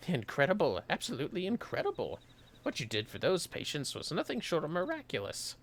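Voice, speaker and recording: A man's voice: fairly high pitch, wildly swooping pitch, a little fast, a bit bright, pitched above the performer's own voice, full character voice. A man voicing an earnest military healer in a fantasy game, speaking formally and effusively. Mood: amazed praise.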